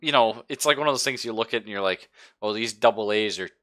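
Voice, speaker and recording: A man narrating casually as game master of a tabletop game, in an informal, halting way with pauses. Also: fairly low pitch, sharp tone.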